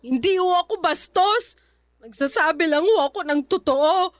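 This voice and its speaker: Very high-voiced aggrieved young Filipino wife. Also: female voice, lively intonation, normal speed, dry recording. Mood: hurt, defiant. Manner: pleading, outspoken, respectful to her elder. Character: aggrieved young pregnant wife, hurt but defiant. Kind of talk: Filipino radio drama acting.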